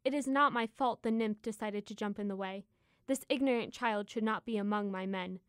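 An actress playing a haughty, scornful goddess of love in an audio drama, speaking in a formal, imperious way. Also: female voice, mid-range voice, a little fast, clear, resonant tone, dry recording, clean studio sound, natural voice. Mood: scornful anger.

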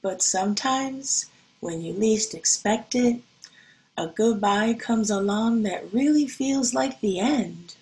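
A woman teacher reading a picture book and talking to young children in an expressive, deliberate, child-directed way. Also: mid-range voice, poor audio. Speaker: schoolteacher reading to pupils. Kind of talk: picture-book read-aloud and talk to children.

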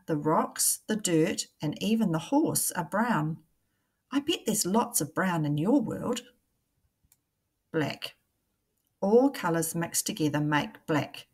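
A woman, a primary teacher reading a picture book aloud to young children in a deliberate, questioning way. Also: on the low side.

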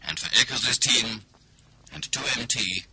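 A man's voice: mid-range voice, normal speed, background noise, natural voice. A man playing the solemn narrator of a radio play, reading scripted history.

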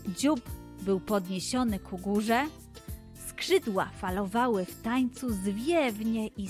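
A woman narrating and hosting a children's story podcast, deliberately addressing young listeners. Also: fairly high pitch.

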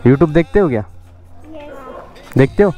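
A man's voice: fairly high pitch, expressive, unhurried, warm tone, faint music behind. A young man chatting casually to viewers as a motovlogger, outdoors on a road. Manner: chatty, addresses viewers as guys and brother. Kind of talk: casual vlog chat.

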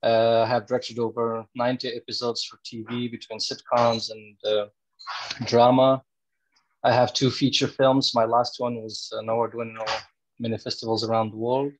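A man's voice: mid-range voice, little pitch movement, moderate pace, dull audio. A man from Morocco, a director and screenwriter, introducing his work on a video call. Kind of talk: self-introduction pitch.

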